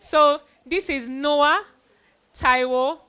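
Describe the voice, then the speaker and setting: Bright, high-voiced woman hosting. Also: drawn-out words. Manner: animated, addressing children and audience. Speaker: host presenting child performers of a church play. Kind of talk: informal stage vote of thanks.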